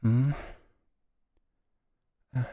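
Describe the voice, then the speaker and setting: A man's voice: drawn-out words, warm tone, lo-fi sound. A man musing aloud and reading narration as he plays through a visual novel. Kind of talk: casual game commentary and narrated reading. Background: music.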